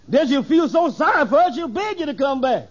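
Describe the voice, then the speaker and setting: An actor playing a brash, shady, inept lawyer in a 1950s radio sitcom, telling stories with cocksure briskness. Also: male voice, very high voice, big pitch swings. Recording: dull old radio recording.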